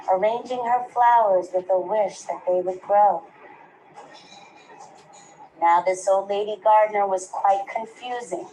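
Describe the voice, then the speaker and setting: Female preschool teacher for a storybook read-aloud, mid-pitched, engaging children with questions, slight background noise. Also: dark tone, poor audio. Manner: pauses to ask the children questions. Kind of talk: read-aloud of a picture book to young children.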